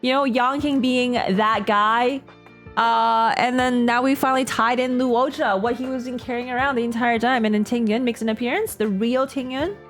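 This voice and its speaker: A woman streamer giving casual, excited reaction commentary in an exclamatory, slangy way. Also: on the high side, normal speed, faint music behind. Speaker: streamer reacting to a game story.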